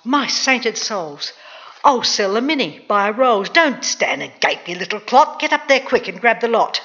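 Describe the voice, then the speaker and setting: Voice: medium pitch, sing-song, moderate pace, sharp tone, highs cut off, pitched above the performer's own voice. A storyteller voicing a scornful, hot-tempered mother who scolds and bullies in exclaiming comic verse. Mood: greedy, bossy. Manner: shrieking, scolding insults.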